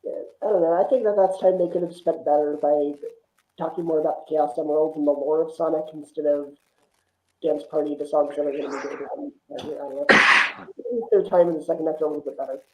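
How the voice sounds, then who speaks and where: A man's voice: a little high, quick pace. A man on a podcast panel, casually discussing films in an echoey room with muffled sound.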